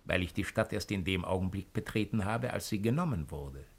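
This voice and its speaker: An actor playing a cold, calculating Roman imperial agent in a radio drama, speaking in a measured, insinuating way. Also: male voice, deep voice, expressive, gravelly, slightly rough, full character voice. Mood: cool, self-assured. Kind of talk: 1950s German radio drama acting.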